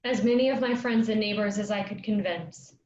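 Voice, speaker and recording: A woman playing an earnest young travelling player in a staged reading of a play, speaking with measured delivery. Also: mid-range voice, a little mellow, lightly acted. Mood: wistful. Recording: echoey, dull lo-fi, noisy room.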